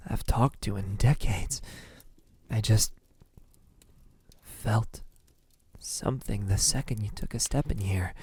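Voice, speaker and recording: Man acting as a slow, husky, brooding male vampire. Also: on the low side, no echo, full character voice. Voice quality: soft, breathy, husky. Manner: slow, halting, intimate, brooding delivery. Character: lonely, lovesick male vampire, menacing toward humans. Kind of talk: ASMR roleplay acting.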